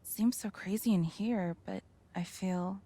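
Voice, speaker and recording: A woman's voice: medium pitch, fairly even intonation, a little slow, dark tone, lightly acted. An actress playing a grieving, bitter young woman, raw and wounded, in a video game. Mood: dazed, apologetic.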